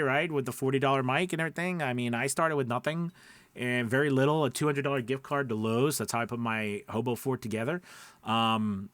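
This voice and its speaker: A man's voice: lowish voice, a bit bright, no room sound. A man giving an informal instructional talk as a coach, emphatic, repeating his points and often checking in with his listeners. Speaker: voice-over coach and platform owner.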